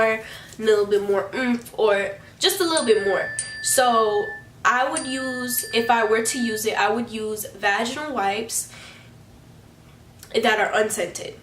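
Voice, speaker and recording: A chatty young woman gives casual advice to camera. Her voice sits a little high and sounds stuffy from a cold. She is picked up in an echoey room, a little away from the mic, with faint noise behind her. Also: far from the mic. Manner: chatty, rambling, frequent restarts and fillers. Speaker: beauty and hygiene vlogger.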